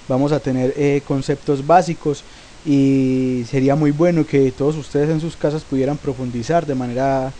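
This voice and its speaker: Guy with a sore throat explaining networks, kinda high but mellow voice, lots of eh. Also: slight background noise. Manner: asks himself questions, then answers them; frequent hesitations with "eh". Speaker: network tutorial host. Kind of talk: recorded tech lesson.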